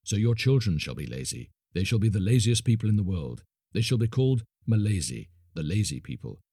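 A man voicing a stern ancient male magician in a storybook reading, speaking in slow, grave pronouncements. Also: very animated, mellow, breathy edge, no echo, clean studio sound. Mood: solemn. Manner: slow, low and solemn pronouncements.

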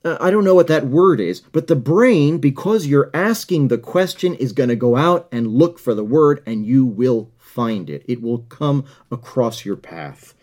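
A man's voice: mid-range voice, animated, a little slow, hardly any pauses, darkish tone. A middle-aged man hosting a podcast, giving an earnest motivational talk and often repeating words while he finds his phrasing. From United States. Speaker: podcast host. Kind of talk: motivational monologue.

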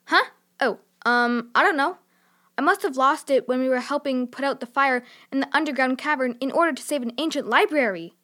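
A woman's voice: high voice, fairly quick, pushed and bright. A female actor playing a sensible, curious young girl in a children's audio drama, earnest and frank in manner. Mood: breathless apology.

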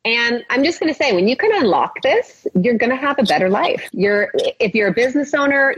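A woman's voice: medium pitch, slightly rough. A woman guest, a life coach, talking in an encouraging, motivational way in a casual podcast conversation. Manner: encouraging, motivational, talks in long flowing runs. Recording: muffled lo-fi audio.

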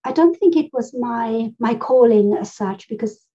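Chatty middle-aged woman with mellow tone. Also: a little high, expressive, measured pace. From Germany. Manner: chatty, rambling storyteller who laughs often. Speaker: podcast co-host. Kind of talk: casual conversational podcast chat. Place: home room full of books. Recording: slightly dull, rough audio.

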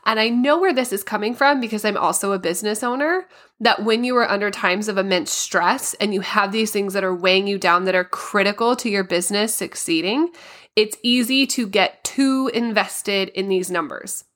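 A woman hosting a solo podcast, a little raspy and hoarse from a cold, explaining things in a practical, step-by-step way. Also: medium pitch, moderate pace, fairly bright tone. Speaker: podcast host.

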